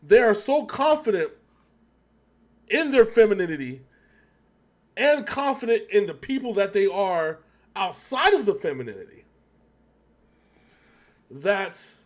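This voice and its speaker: A man's voice: high voice, sing-song, measured pace, long pauses. A man hosting a casual video reaction, speaking in an emphatic, rambling way as he thinks aloud. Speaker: reaction show host.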